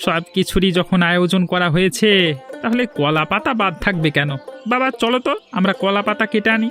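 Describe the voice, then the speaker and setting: A man's voice: sits high, brisk, a bit bright. A man voicing a self-important, touchy grown son who works in the city, in a cartoon fairy tale. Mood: cheerful, nostalgic. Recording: music under the voice.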